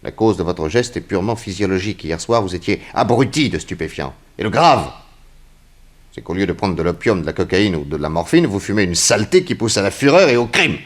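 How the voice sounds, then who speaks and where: An actor playing a stern, shrewd investigator who questions insistently and sardonically in a 1960s French radio crime drama. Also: male voice, low-pitched, sing-song, fairly quick. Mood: grave accusation. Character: stern, shrewd male investigator grilling a young suspect.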